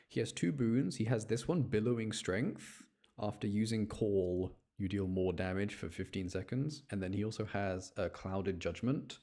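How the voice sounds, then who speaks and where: A man's voice: fairly low pitch, mellow. A man giving casual gameplay commentary, talking to himself and explaining his choices as he rambles along. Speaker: gaming content creator.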